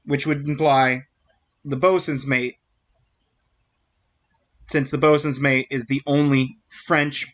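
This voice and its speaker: A man's voice: a little high, fairly bright tone, lo-fi sound. A man casually commentating a game stream, thinking aloud as he reasons through clues.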